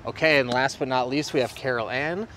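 A man's voice: medium pitch, brisk. A man hosting on camera outdoors, interviewing people in an upbeat presenter style. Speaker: host, company CEO. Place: outdoors by traffic. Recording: outdoor recording with clear street noise.

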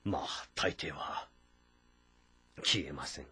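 A man's voice: very deep voice, takes it slowly, sharp tone, breathy edge, full character voice. A man playing a thoughtful, weary military strategist who speaks politely and reflectively in a historical drama. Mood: pensive.

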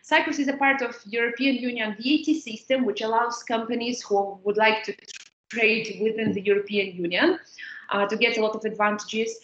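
A woman's voice: fairly high pitch, steady tone, fairly bright tone, highs cut off. Woman presenting over an echoey video call. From Ukraine. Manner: halting, many fillers and restarts, non-native phrasing. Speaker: business development manager presenting. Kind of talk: webinar presentation.

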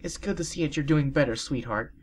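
A man voicing a cheerful, kindly young pony mare in a gentle voice as he reads fan fiction aloud. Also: fairly high pitch, brisk, slightly rough, voice pushed up. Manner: gentle, lifted voice.

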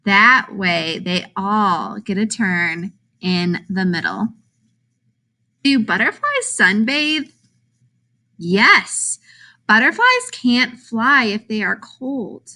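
Animated, bright-voiced woman reading to children. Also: a little high, takes it slowly. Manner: animated, emphatic questions and answers. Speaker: teacher reading a children's picture book aloud. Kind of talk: expressive children's read-aloud narration.

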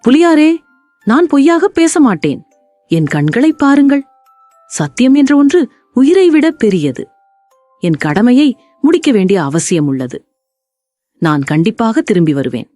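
An honest, gentle mother cow from a storybook, voiced by a woman, speaks with polite earnestness. The delivery is very melodic and often lifts high, dry over music. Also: sing-song, measured pace, long pauses, no echo. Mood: brave, earnest.

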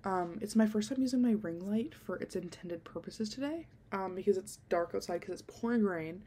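A woman's voice: mid-range voice, expressive, moderate pace, dark tone. A young woman chatting casually into a handheld mic in a dim bedroom, a little nasal from being sick, rambling with lots of ums and likes. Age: young adult. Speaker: podcast host. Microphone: handheld desk mic close to mouth.

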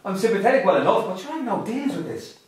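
Cheeky Irish rogue, very echoey. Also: male voice, sits high, expressive, quick pace, a little mellow, far from the mic, a little hiss or hum. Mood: cheeky, defiant. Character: cheeky, rough, good-humoured Irish working man, rebel sympathiser. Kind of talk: radio drama acting.

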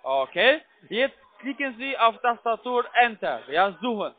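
A man's voice: very high-pitched, sing-song, unhurried. A man with a non-native, foreign accent in German, working as a call center IT agent, giving step-by-step instructions and repeatedly checking that he is followed. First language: not German. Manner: repetitive, keeps checking with tag questions. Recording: tinny narrowband phone line.

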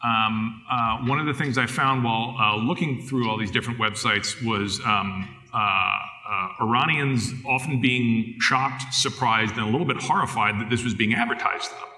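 A man's voice: medium pitch, moderate pace, few pauses, sharp tone, poor audio. A man in his thirties giving a conference talk from a podium on a large stage, casual and full of fillers, often asking for the next slide. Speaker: conference speaker. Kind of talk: conference presentation.

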